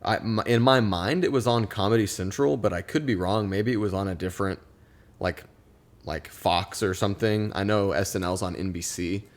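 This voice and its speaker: A man's voice: on the low side, normal speed. Rambling young man on a podcast. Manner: chatty, rambling, laughs often. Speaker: podcast co-host. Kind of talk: casual chat. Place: podcast studio.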